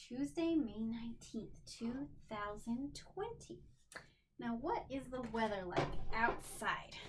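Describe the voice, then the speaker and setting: A woman with a fairly high voice teaches young children in an exclamatory, sing-song manner. Her pitch rises and falls widely and she speaks very slowly, as a teacher leading a lesson would. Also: a little hiss or hum. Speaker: special education teacher. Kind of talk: children's lesson and read-aloud.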